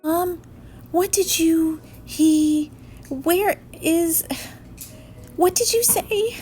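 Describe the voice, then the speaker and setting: A woman voicing an anxious, excitable young schoolboy from a storybook, exclaiming in a flustered, dramatic way. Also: extremely high pitch, extremely slow, soft background music, voice pushed up, exaggerated voice. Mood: flustered protest.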